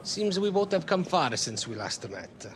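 An actor playing a courteous middle-aged football club official in a game cutscene, formal and careful, with a foreign European accent. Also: male voice, high-pitched, very animated, moderate pace, slight background noise. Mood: gracious. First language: not English. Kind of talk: video game cutscene acting.

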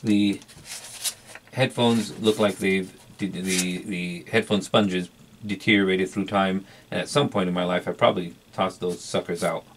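A man's voice: low-pitched, fairly even intonation, a little slow, slight background noise, low-quality recording. A middle-aged man giving a casual, unscripted product review as a collector, rambling hesitantly and repeating words for emphasis. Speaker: vintage electronics collector and reviewer.

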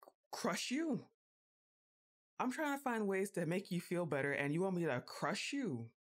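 A woman playing a goth giantess witch girlfriend, exasperated and fondly bossy, with a drawn-out teasing delivery in an intimate ASMR roleplay. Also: on the low side, lively intonation. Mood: pleading, fond. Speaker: audio roleplay performer.

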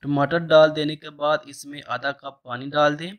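Flat-voiced man narrating a cooking recipe. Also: on the high side, moderate pace. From Pakistan. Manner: steady step-by-step instructional tone. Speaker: cooking channel host.

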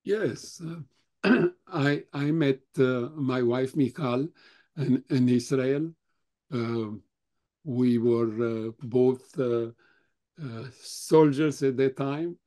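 An older man whose first language is German, slowly answering questions at a remembrance talk with many halting pauses. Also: medium pitch, mellow. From Europe; grew up speaking German, Russian, Romanian. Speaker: Holocaust survivor guest speaker.

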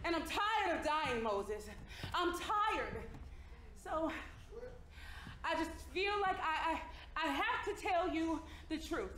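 An actress playing a lovestruck, impatient hotel worker in a live stage comedy, with a theatrical, exasperated manner. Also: female voice, very high-pitched, animated, slow pace, fairly bright tone, exaggerated voice. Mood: earnest, nervous. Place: theater stage, picked up from a distance. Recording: echoey noisy theater stage recording.

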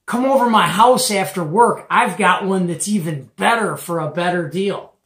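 A middle-aged American man with a Midwestern accent speaks in an echoey room. He tells a story in a casual, conversational way, animated and slangy, often checking in with rhetorical questions. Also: high-pitched, moderate pace. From Detroit area, United States. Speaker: host of a CB radio show-and-tell. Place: small cluttered indoor radio room. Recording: echoey room, mic at a distance.

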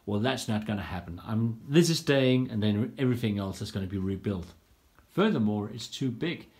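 A man's voice: fairly low pitch, expressive, moderate pace, darkish tone. A man giving a frank, self-deprecating walkthrough of his home build, filming on a handheld phone in a home workshop room. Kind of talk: casual walkthrough vlog. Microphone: handheld phone camera.

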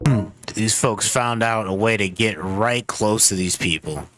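A man's voice: fairly low pitch, measured pace, background noise. A man speaking casually and in slang as a streamer reacting to the news. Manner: slangy, conversational.

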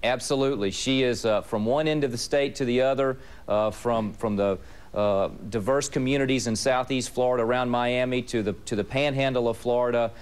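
A man's voice: medium pitch, fairly even intonation, a little fast, clean tone. A man in his thirties with a mid-range voice speaks from a remote studio. He sounds enthusiastic and upbeat. The feel is a TV interview link. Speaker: congressman, remote guest. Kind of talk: political commentary.

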